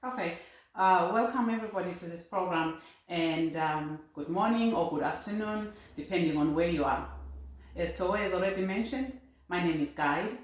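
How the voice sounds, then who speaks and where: A woman from Zimbabwe, a guest speaking in a measured way with frequent ums. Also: on the low side. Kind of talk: talk show conversation. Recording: very echoey room.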